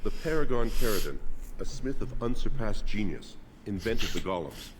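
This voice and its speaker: An actor playing a formal, proud dwarven keeper of history in a fantasy video game, speaking in a solemn, measured way. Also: male voice, on the low side, animated, background noise, lightly acted. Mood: solemn, lecturing.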